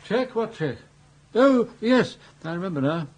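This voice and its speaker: An actor playing a vague, timid elderly earl in a radio comedy, hesitant and bumbling, with an upper-class English accent. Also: male voice, sits high, lively intonation, normal speed. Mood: vague, polite. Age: older. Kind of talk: British radio comedy drama.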